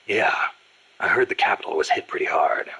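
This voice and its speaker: An extremely husky, hard-edged soldier of middle age for a video game. He is laconic and gruff, growling terse remarks in a low, tense voice. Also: fairly quick, bright, forceful tone, heavily acted, low-quality recording. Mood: wry, grim. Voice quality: gravelly, raspy growl. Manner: terse, probing. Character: gruff, battle-worn middle-aged American soldier, laconic. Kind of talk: scripted video game voice acting.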